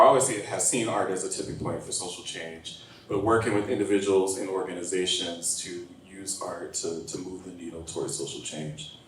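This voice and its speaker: A man speaking on a panel in a measured, articulate and earnest way. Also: low voice. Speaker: creative producer, organizer. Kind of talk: panel discussion. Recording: echoey hall, distant mic, faint hiss.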